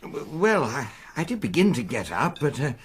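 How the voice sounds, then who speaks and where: An actor playing a diffident young 1920s gentleman in a radio drama, hesitant and earnest, with an upper-class English accent. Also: medium pitch, big pitch swings, normal speed, husky. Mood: uneasy.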